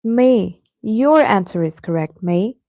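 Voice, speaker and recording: A woman's voice: medium pitch, expressive, slow pace, mellow, no room sound, studio quality. A woman teaching an online English class, patiently going over answers and addressing students by name.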